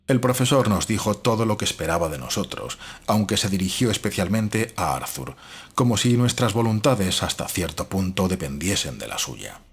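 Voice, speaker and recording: A deep, very rough-edged doctor voiced by a man narrates his diary in an audio drama. His delivery swings expressively in pitch while staying formal, brooding and analytical. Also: big pitch swings, moderate pace, heavily acted. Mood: reflective. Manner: formal, reflective.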